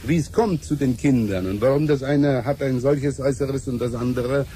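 An actor playing an over-polite, hesitant scientist with a foreign accent and broken syntax in a 1970s German radio drama. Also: male voice, mid-range voice, normal speed, dark tone, close to an everyday voice. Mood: hesitant, self-effacing. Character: polite, hesitant, fawning foreign scientist and small-time inventor. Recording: 1970s radio play, faint noisy ambience.

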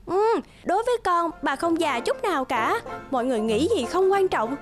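An actress playing a sweet, earnest young bride-to-be in a cartoon, youthful and expressive. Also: female voice, very high-pitched, normal speed. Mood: earnest, pleading. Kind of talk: cartoon voice acting. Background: music.

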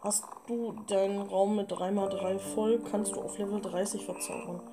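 A woman's voice: mid-range voice, fairly even intonation, moderate pace. A woman in her twenties chatting casually as a let's play gamer. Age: 20s. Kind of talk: casual gameplay chat. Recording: instrumental music behind the voice. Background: instrumental music.

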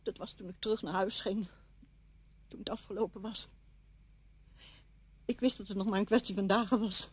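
A brittle, grieving widow in a radio play, acted by a woman. Her voice is husky and sits dry and close, with a pointed, halting delivery full of bitterness. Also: mid-range voice, fairly even intonation, no room sound, lightly acted. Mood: numb, grieving. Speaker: radio drama actress. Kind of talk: Dutch radio drama acting.

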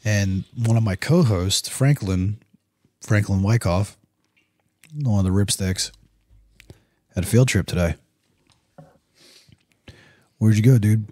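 Hoarse deep-voiced dad hosting a podcast. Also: male voice, slow pace, pauses often, warm tone, dry recording. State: hoarse, losing his voice. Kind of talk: casual family chat.

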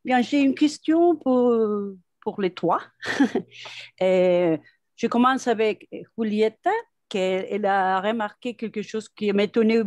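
A woman's voice: medium pitch, slow, a little mellow, no echo. A woman, a psychoanalyst asking a question on a video call, searching for her words as she speaks French. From Argentina. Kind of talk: online panel discussion. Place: home room with bookshelves. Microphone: headset on video call.

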